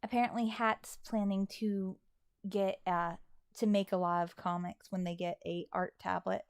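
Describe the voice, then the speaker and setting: A woman's voice: medium pitch, fairly even intonation, normal speed, smooth, no room sound, clean studio sound. A woman co-hosting a casual livestream, chatting and answering questions from viewers. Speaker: co-host of a livestream.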